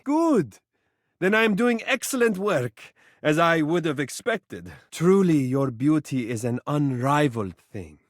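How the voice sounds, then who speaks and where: A man's voice: a little high, a little mellow, dry recording, studio quality. A man voicing a vain, adoring mirror in a video game, speaking in flowery, formal, dramatic phrases. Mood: adoring, smug. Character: vain, romantic, adoring living mirror, theatrical and tender. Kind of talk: video game character voice acting.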